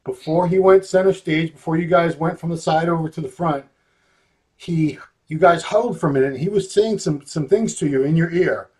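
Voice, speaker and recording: A man's voice: fairly high pitch, a little fast, a little mellow. A man hosting an interview, chatty and playful, joking with his guest. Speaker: interview host. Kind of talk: casual interview chat.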